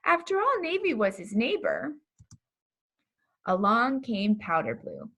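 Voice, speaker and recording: A woman reading a children's story aloud in an expressive, deliberate storytelling manner. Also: a little high, gravelly. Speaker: pastor reading a children's book.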